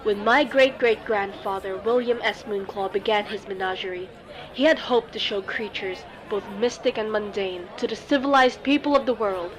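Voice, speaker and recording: A woman's voice: mid-range voice, crowd noise, lightly acted, rough recording. Woman playing a theatrical menagerie showwoman, grand patter. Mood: grand, inviting. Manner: grand, salesmanlike patter with dramatic pauses. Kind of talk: scripted fantasy audio roleplay.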